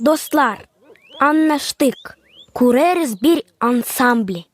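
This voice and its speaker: High-pitched curious cartoon youngster. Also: female voice, lively intonation, drawn-out words, heavily acted. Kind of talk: cartoon character acting.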